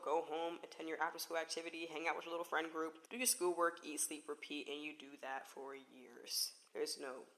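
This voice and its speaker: A woman's voice: deep voice, fairly bright tone. A woman in her twenties hosting her own podcast, talking in a chatty, playful way and often laughing. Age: 20s. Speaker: podcast host. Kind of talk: casual solo podcast chat.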